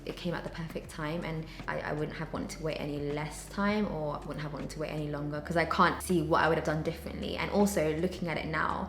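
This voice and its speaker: A woman's voice: sits low, reverberant, a little hiss or hum. A woman in her thirties, from the UK, answering viewer questions in a casual, candid chat full of "like" and restarts. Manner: chatty, candid, many likes and restarts.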